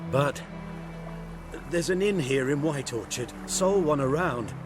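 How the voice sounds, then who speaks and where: A shaken, grateful villager. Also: male voice, a little high, lively intonation, unhurried. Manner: hesitant, eager to help. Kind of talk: video game cutscene acting. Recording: game audio with music behind.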